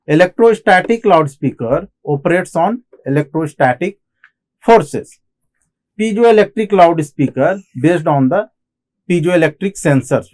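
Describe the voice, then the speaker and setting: A man with an Indian accent giving a technical lecture as an instructor, explaining in halting phrases and often repeating himself. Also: fairly high pitch, darkish tone. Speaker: engineering lecturer. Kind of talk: classroom lecture on technical material.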